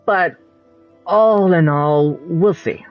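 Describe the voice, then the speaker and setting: A man reading game dialogue choices aloud in a hesitant, halting manner on a lo-fi mic. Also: fairly high pitch, soft background music. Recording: dull lo-fi mic over game audio.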